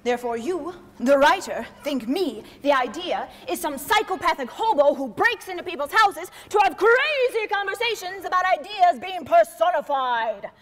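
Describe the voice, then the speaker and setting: A woman's voice: extremely high pitch, expressive, normal speed, few pauses, a bit bright. A woman playing an officious, exasperated idea come to life, quoting rules in an indignant, theatrical way in a comic stage play. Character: personified idea, officious, exasperated, rule-quoting female messenger. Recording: echoey stage hall, clear background noise.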